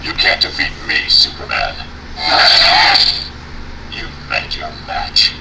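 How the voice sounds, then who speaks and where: An actor playing a menacing armored superhero vigilante with a gravelly, electronically deepened voice, in toy sound samples. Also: male voice, takes it slowly. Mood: menacing, defiant. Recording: very noisy toy speaker playback.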